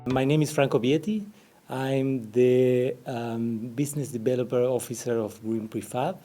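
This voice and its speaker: A man's voice: medium pitch, fairly even intonation, normal speed, warm tone, faint music behind. A man from Argentina with an Argentine Spanish accent, a business developer answering interview questions, often searching for words.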